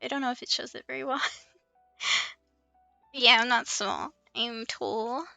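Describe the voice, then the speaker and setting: A woman with a high, bright voice hosts a casual game stream. There is noticeable fry in her playful, giggly delivery. She sounds close and dry as she chats and reads out game text. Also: unhurried, no room sound, soft background music. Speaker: livestream host playing an online game. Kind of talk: casual chat with game commentary and dialogue read aloud.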